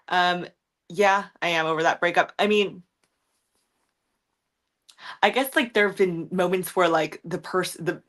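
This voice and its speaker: A young woman with a deep voice, chatting frankly in a rambling livestream, answering viewer questions with lots of ums, likes and swearing. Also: a bit bright. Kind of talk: casual livestream chat.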